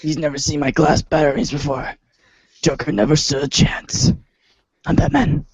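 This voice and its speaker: A man voicing a gruff, self-serious superhero with a gravelly, husky rasp in a pompous, melodramatic parody style. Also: fairly high pitch, fairly even intonation, moderate pace, no room sound. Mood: brooding. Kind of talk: read-along storybook parody acting.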